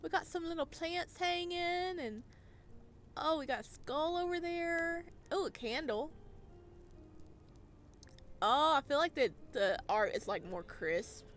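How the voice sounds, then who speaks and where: A woman with a fairly high, very animated voice plays through a visual novel. She reads at an easy, slow pace and reacts playfully. There is faint noise in the recording. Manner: playful, expressive, giggly. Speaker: video game streamer.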